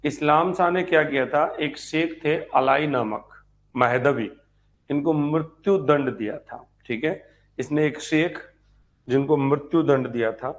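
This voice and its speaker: Male history teacher, slightly high voice, explanatory lecture delivery with repeated phrases and quick check questions to students. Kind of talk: exam-prep lecture, repeating lines slowly as if dictating.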